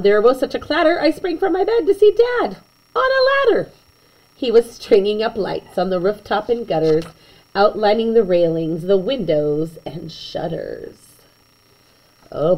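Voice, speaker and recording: Lively woman reading a bedtime story. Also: mid-range voice, rough recording. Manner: animated, slow and deliberate storytelling, chatting to young listeners. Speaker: storyteller reading a picture book aloud to children. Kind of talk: expressive bedtime story read-aloud with chatty asides.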